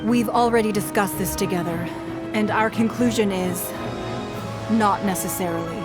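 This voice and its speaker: A woman plays a poised, authoritative leader in a fantasy video game. Her voice sits at a middle pitch, and her delivery is formal and decisive. Music is clearly heard behind her. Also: normal speed, natural voice. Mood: composed. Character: composed, authoritative female leader rallying allies. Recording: game audio under music.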